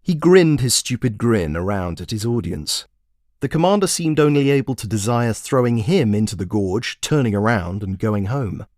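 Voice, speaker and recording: Male narrator for fantasy fiction, expressive and deep-leaning, very dry sound. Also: moderate pace, no room sound. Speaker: author reading own short story. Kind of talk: scripted storytelling narration.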